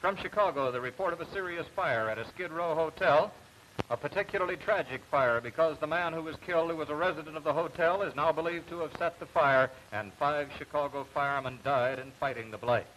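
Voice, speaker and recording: A man's voice: a little high, a little fast, fairly bright tone. Noisy 1950s recording of American newsman. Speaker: television news anchor. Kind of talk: scripted 1950s news broadcast narration.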